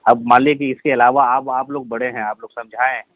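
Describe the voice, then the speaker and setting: A man's voice: medium pitch, flat delivery, fairly quick, no echo. A man with a Bihari accent speaks deferentially and apologetically, pleading and explaining himself over the phone. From Bihar, India. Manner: deferential, pleading, repeatedly saying brother. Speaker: caller being confronted over a social media comment. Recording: tinny, lo-fi recorded phone call.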